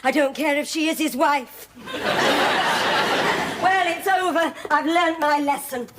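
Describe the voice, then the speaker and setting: A woman's voice: sits high, a little slow, a loud crowd, very noisy. An actress playing a flirty, theatrical middle-aged woman who talks in a self-pitying way. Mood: heartbroken.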